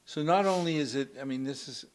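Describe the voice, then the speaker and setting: A man's voice: mid-range voice, brisk. A man whose first language is Portuguese, hosting a casual interview in an easygoing, conversational way. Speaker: show host.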